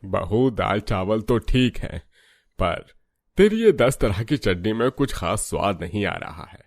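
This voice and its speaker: A man's voice: on the low side, very animated, measured pace, no echo, heavily acted. A man playing a critical, hard-to-please middle-aged father-in-law in a family story, with a disapproving manner.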